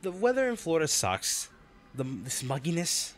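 A man playing a wealthy, devoted, protective lover in a romantic audio roleplay, speaking tenderly and earnestly with halting phrases. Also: fairly high pitch, wildly swooping pitch, faint music behind. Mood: lighter, affectionate.

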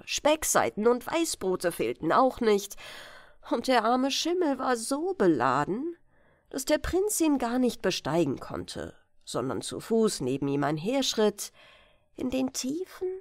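Unhurried, expressive woman reading fairy tales. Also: fairly high pitch, dry recording, clean studio sound. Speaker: audiobook narrator.

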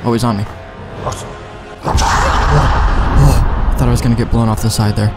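A man's voice: mid-range voice, fairly even intonation, a little slow. A man giving casual, rambling gameplay commentary with plenty of slang and swearing. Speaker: let's play gamer. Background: game music.

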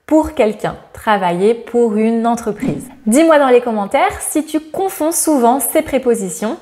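A woman in her thirties teaches grammar straight to camera, her voice sitting a little high. She talks in a lively, smiling way and slows right down on each example sentence. It is a close lapel-mic recording in a bright indoor room. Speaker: French teacher. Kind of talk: scripted grammar lesson to camera.

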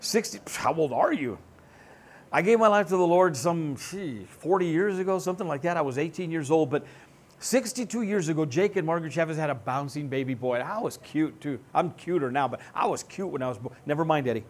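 A pastor in his sixties delivers a playful, animated sermon from a church stage, joking with the congregation as he goes. His voice sits a little high for a man. He is picked up by a headset mic. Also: fairly quick. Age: 60s. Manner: animated, joking, gestures broadly while seated. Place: church stage with band gear.

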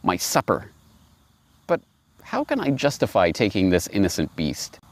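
A man's voice: fairly low pitch, huge pitch swings, moderate pace. A man voicing a hungry, scheming wolf, cross and accusing, in a storybook fable. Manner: accusing, blustering.

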